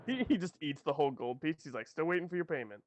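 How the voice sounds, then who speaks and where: A man's voice: fairly high pitch, big pitch swings, quick pace, background noise. A man playing a tabletop role-playing game online, joking and bantering with the group. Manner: jokey, playful. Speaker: player.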